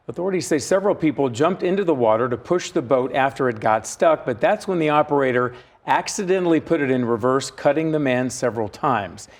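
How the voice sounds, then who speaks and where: An American man co-anchoring a TV newscast with a scripted news delivery. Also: mid-range voice.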